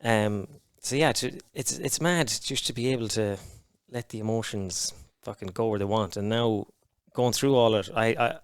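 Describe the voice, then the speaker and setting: A man talking frankly on a podcast, cursing freely and stopping to restart his thoughts. His voice is smooth and a little low, recorded dry and close with no room sound. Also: no echo. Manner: frank and heavy-swearing, halting restarts. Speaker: podcast guest. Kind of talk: candid conversation.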